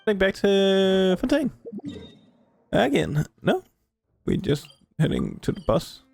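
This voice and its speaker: A woman's voice: mid-range voice, huge pitch swings, takes it slowly, vocal fry, darkish tone, breathy edge, no echo. A woman giving casual commentary as she plays a video game. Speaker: gaming video host. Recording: game music behind voice.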